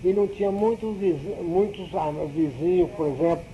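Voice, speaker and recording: An older man recalling his family's past in an oral history interview, talking haltingly and often restarting his words. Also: high voice, narrow, tinny audio, slight background noise. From Brazil. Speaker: interviewee, father.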